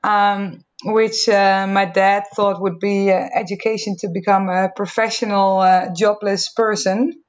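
Dutch lady guest on a podcast, halting and thoughtful, keeps restarting words. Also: mid-range voice, flat delivery. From Netherlands. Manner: hesitant, repeats words while thinking. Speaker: marketing executive guest. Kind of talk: conversational interview answers.

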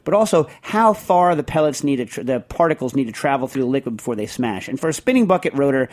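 A man's voice: medium pitch, animated, fast, darkish tone. A middle-aged man hosting a podcast, chatting casually and explaining technical points step by step with many fillers and restarts. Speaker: podcast host.

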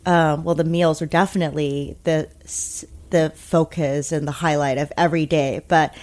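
A woman born in Taiwan and raised in America, talking casually as an interview guest, thoughtful with many pauses and restarts. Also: sits low, steady tone, a little mellow. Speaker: interview guest, food media founder.

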